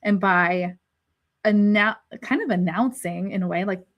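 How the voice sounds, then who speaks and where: A woman's voice: mid-range voice, unhurried, lo-fi sound. A woman hosting a live panel discussion, speaking reflectively with frequent restarts, who is five months pregnant. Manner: reflective, searching, many restarts. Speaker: host.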